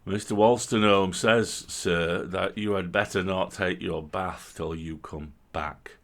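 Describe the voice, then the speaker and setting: A man's voice: low-pitched, fairly even intonation, slow. Man playing a polite, formal valet. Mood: deferential. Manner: deferential, formal.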